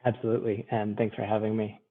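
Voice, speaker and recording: Low, flat man over a tinny line. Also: fast. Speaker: panelist, investment officer. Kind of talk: panel self-introduction. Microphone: video call.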